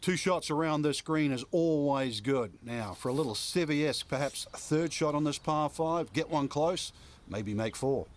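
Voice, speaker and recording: A man giving relaxed, chatty color commentary on golf in a video game. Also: fairly high pitch, normal speed. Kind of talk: sports commentary.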